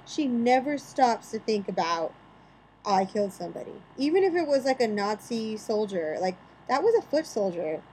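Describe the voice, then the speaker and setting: A woman's voice: fairly high pitch, sing-song, moderate pace, darkish tone, a little hiss or hum, rough recording. A woman co-hosting a podcast in casual chat, arguing her points with rhetorical questions. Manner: opinionated, builds arguments with rhetorical questions. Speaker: podcast co-host.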